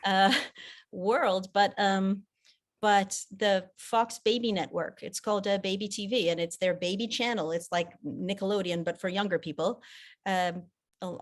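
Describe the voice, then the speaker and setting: A woman whose first language is French, speaking English with an American-sounding accent, chatting in an interview about her voice-acting work with frequent restarts. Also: mid-range voice. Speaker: guest, voice actor and theater producer. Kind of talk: conversational interview.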